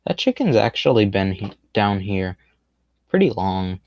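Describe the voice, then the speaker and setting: A man casually rambling through gameplay commentary on a stream, with a fairly monotone, laid-back and self-deprecating way of talking. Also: lowish voice, a little slow, highs cut off. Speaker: game streamer.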